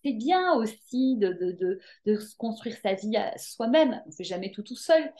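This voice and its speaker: Lively woman chatting as guest. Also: on the high side, normal speed. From Belgium. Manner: lively, emphatic, frequent hesitations and filler words. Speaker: podcast guest, coach. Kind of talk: casual interview chat.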